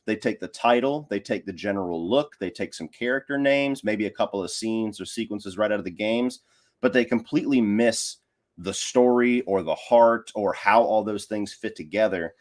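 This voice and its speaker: A man speaking as a podcast guest, giving casual opinions and building his points in repetitive, structured lists. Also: fairly low pitch. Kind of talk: casual opinionated film discussion.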